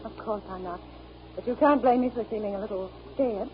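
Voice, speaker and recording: A woman's voice: medium pitch, a little fast, close to an everyday voice. A woman playing a tender, anxious, earnest young wife in 1930s radio acting. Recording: dull, noisy 1939 radio recording.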